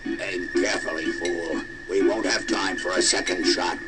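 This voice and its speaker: Man acting a low, sneering supervillain. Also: fairly even intonation, moderate pace, close to an everyday voice. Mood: commanding. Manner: theatrical, commanding, taunting. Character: sneering, scheming male supervillain, bossy and gloating. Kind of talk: superhero radio drama acting. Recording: loud music behind.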